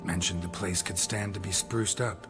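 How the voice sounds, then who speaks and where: An actor playing a gruff, laconic male monster hunter in a video game, speaking in terse, understated phrases. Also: deep voice, steady tone, normal speed. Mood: casual, dry. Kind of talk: video game cutscene acting. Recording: music clearly behind the voice. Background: game music.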